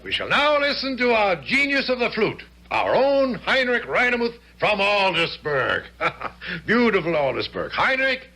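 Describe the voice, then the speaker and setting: An actor playing a jovial, domineering middle-aged Hessian colonel in a radio drama, hearty, condescending and commanding. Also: male voice, high-pitched, huge pitch swings, a little slow, pushed and bright, heavily acted. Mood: jovial, boisterous. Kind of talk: old-time radio drama acting. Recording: noisy vintage radio drama recording.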